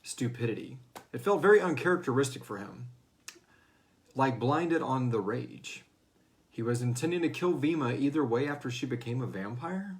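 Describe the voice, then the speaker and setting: A man's voice: medium pitch, expressive, normal speed. Guy host doing a laid-back q&a, informal, chats and throws in little character bits. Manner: informal, acts out character lines in passing. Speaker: host and writer of a serialized audio fiction series. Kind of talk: casual Q&A discussion, reading out listener questions.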